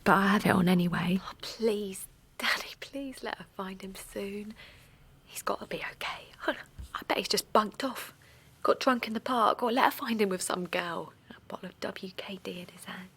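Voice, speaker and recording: A woman playing a chatty, excitable mum in a naturalistic audio drama. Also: mid-range voice, moderate pace, breathy edge. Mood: anxious, hushed. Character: Linda, chatty Essex mum, warm and excitable.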